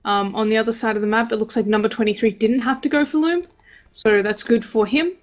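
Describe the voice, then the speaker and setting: A woman's voice: a little high, fairly quick. A woman giving live, conversational commentary on a video game match. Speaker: esports match caster.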